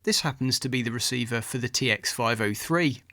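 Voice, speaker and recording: A man narrates a scripted voice-over in a mid-pitched voice with plenty of creak. The sound is close and very dry, with no room around it. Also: brisk, bright, forceful tone, no echo.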